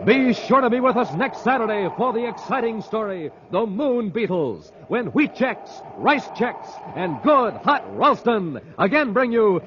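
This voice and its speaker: An American man announcing a 1950s radio show in an enthusiastic, emphatic sales-pitch style. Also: lively intonation, measured pace, few pauses, background noise. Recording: dull vintage radio transcription.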